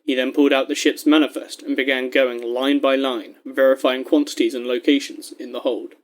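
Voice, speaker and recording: A man with a medium-pitched voice narrates an audio story. He reads scripted prose in a measured way, setting each scene with descriptive care. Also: level tone.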